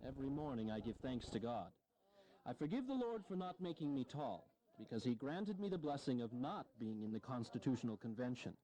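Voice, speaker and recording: A man's voice: mid-range voice, sing-song, a little fast, dark tone, close to an everyday voice, low-quality recording. An actor playing an aging, self-deprecating American statesman in a historical audio drama, sardonic, blunt and earnest. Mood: dry humour.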